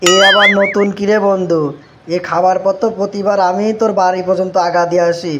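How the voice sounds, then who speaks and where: Man as a fast-talking bossy cartoon drinking buddy. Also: high voice, flat delivery, fairly bright tone, muffled recording, soft background music. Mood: cautioning. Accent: rural Bangladeshi. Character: scolding, mocking young male drinking buddy, bossy. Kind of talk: Bengali comic cartoon voice acting.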